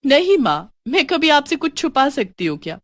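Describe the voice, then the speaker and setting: A woman voicing a kind, hard-working young woman devoted to her family, with an earnest, expressive storybook delivery. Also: sits high, moderate pace, no echo, pitched above the performer's own voice, exaggerated voice. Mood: reassuring. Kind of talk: dramatised storybook dialogue.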